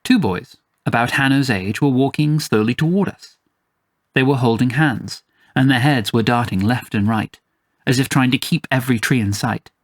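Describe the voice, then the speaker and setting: A man's voice: medium pitch, normal speed, dry recording, clean studio sound. A man reading scripted first-person fantasy fiction as its storyteller. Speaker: storyteller, narrator.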